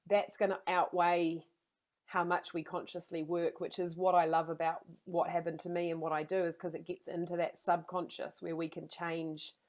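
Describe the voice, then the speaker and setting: A woman guest talking candidly in an interview, earnest and energetic, often repeating her phrases. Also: on the low side, moderate pace. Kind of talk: candid conversational storytelling.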